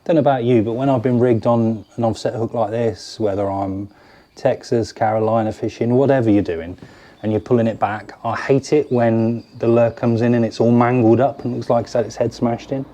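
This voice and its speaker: Mellow British man showing fishing tackle. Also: mid-range voice, fairly even intonation, brisk, lo-fi sound. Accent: British English. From United Kingdom. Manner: chatty, hands-on, explains while fiddling with lures. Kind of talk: casual product demonstration to camera. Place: garden cabin or shed, indoors.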